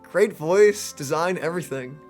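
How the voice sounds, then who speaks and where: A man's voice: a little high, expressive, unhurried, darkish tone, faint music behind. A man giving casual, playful let's play commentary, thinking aloud in an informal way.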